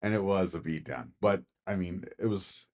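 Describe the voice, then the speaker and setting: A man's voice: deep voice, normal speed, darkish tone. An American man co-hosting a podcast, explaining his views in a plain-spoken, assertive, casually analytical way. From Philadelphia, United States. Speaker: podcast co-host.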